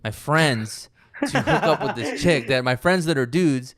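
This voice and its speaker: A man's voice: mid-range voice, lively intonation, measured pace, fairly bright tone, no echo. A man co-hosting a podcast, chatting casually in a laid-back way and calling his friend dude. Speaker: podcast co-host. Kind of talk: casual chat.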